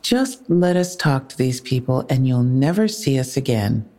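Low, mellow, firm policewoman. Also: expressive. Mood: firm, composed. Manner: measured, polite but unyielding. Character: firm, composed female police officer questioning a witness. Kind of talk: naturalistic audio drama dialogue.

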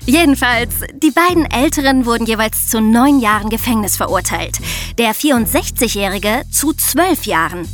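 A woman's voice: on the high side, expressive, normal speed, faint music behind. A woman co-hosting a true-crime podcast, moving between narration and discussion. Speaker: podcast co-host.

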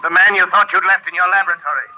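A man's voice: sits high, fairly even intonation, racing, natural voice. An actor playing a menacing, taunting male avenger in a 1930s radio thriller. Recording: noisy muffled 1930s radio recording.

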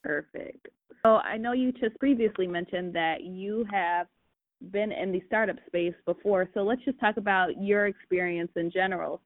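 A woman podcast host briskly asking her guest questions. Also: fairly low pitch, no room sound. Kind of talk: interview questions. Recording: tinny phone line, dry.

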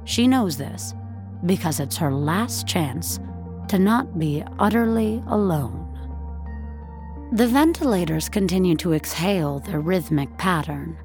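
A woman narrating a dramatic audio story in a measured, deliberate, literary way. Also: lowish voice, animated, a little mellow. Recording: studio narration over faint music.

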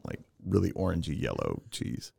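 A deep, gravelly man with a strong Texan accent chats as a podcast guest, enthusiastic and fond of telling stories. His voice is very low and crackly with fry, recorded close and dry with no room sound at all. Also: big pitch swings, moderate pace, warm tone, no room sound. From Texas. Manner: enthusiastic, chatty storyteller with frequent laughs. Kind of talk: casual chat.

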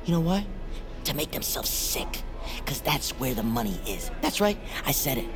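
A man's voice: medium pitch, very animated, moderate pace. An actor playing a paranoid male patient who rants emphatically in an audio drama, with music behind. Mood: paranoid, preachy. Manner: preachy, emphatic. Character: paranoid, ranting male patient with conspiracy theories.

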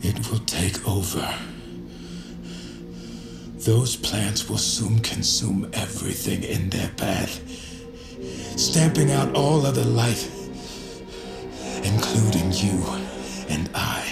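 Gravelly, haunted doctor. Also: male voice, on the low side, slow pace, long pauses, heavily acted. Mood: grave, exhausted. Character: tormented, weary doctor haunted by a demanding spirit. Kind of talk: dramatic audio fiction acting. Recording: loud music behind the voice.

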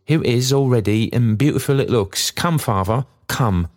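A man putting on an eager, high-lifted young daughter. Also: big pitch swings, moderate pace. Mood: cheerful, eager. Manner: eager, bright, affectionate chatter. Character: cheerful, doting young working-class daughter. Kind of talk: storybook character dialogue.

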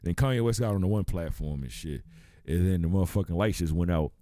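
Animated man telling stories. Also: deep voice, fast talker, dry recording, studio quality. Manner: animated, acts out crowd voices, profane. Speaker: podcast co-host. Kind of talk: casual chat, storytelling.

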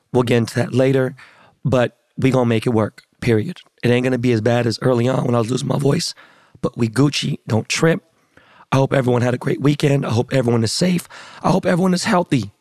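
A hoarse man hosting a podcast in casual chat, talking in slangy, profane, hyped-up street style. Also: medium pitch, a little mellow, no room sound, clean studio sound. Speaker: podcast host.

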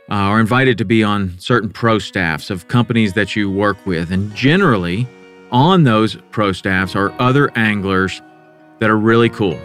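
A man hosting a podcast as a professional angler, talking in a relaxed, conversational way. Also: fairly low pitch. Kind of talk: podcast intro and interview.

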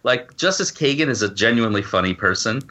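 A man's voice: mid-range voice, expressive, fast talker, sharp tone. A middle-aged man talking casually as a podcast guest, stammering a little and thinking aloud as he answers. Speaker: guest novelist and law professor. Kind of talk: casual conversational podcast interview.

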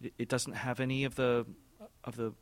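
A man in his forties, speaking at a middle pitch, gives careful, measured interview answers as an orchestra's music director. He often stops and restarts, repeating small words and filling gaps. The sound is close and dry, with no room echo. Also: flat delivery. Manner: thoughtful, halting, many repeated words and fillers.

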